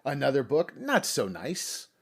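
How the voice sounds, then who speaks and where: A man's voice: mid-range voice, wildly swooping pitch, unhurried, husky. A middle-aged man hosting a podcast, talking casually and acting out imagined reactions as he tells stories. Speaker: podcast host. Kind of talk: casual interview chat.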